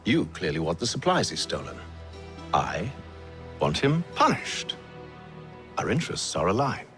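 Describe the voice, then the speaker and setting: Sardonic villain dad, super animated pitch, crisp bright voice, condescending, game music under. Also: male voice, unhurried, full character voice. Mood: coolly persuasive. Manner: condescending, emphatic. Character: haughty middle-aged villainous father, sardonic and calculating. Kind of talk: dramatic video game acting.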